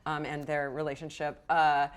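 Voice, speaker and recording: A woman's voice: sits low, flat delivery, moderate pace, clear, resonant tone. A woman acting as game master, explaining rules and narrating a tabletop roleplaying game in a casual, improvised way.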